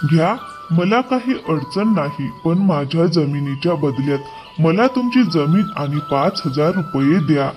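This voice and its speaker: A man voicing a shrewd, unyielding village landowner in an animated story, firm and businesslike. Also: a little high, unhurried, highs cut off, soft background music. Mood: firm bargaining. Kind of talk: animated moral story dialogue.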